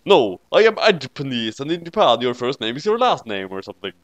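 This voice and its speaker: An excitable husband put on by a man talks in a raised, loud voice. He is overblown and comic, a character in a fan-fiction reading. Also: mid-range voice, a little fast, lightly acted. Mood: earnest.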